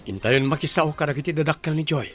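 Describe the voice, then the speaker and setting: A man's voice: fairly high pitch, quick pace, over music, natural voice. A man acting a devoted, tender young suitor in an Ilocano radio drama. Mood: affectionate.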